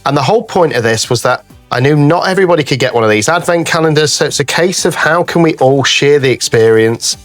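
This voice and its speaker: A man hosting a craft video in a chatty, casual way. His voice is a little croaky with a cold and rises and falls in a lively way. Music plays behind him. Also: fairly high pitch, quick pace. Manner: chatty, addresses viewers as guys. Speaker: craft channel host. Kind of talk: casual craft tutorial chat.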